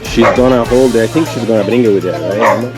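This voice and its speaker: A man's voice: on the low side, quick pace. A man, chatting casually as a vlog co-host. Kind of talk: casual chat. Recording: loud music under handheld camera audio.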